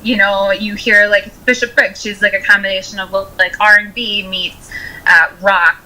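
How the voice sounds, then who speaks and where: A woman's voice: mid-range voice, little pitch movement, normal speed, bright, forceful tone, poor audio. A woman in her twenties with an American accent, chatting casually as a musician being interviewed, often saying "like" as she talks. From Rochester, United States. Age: 20s.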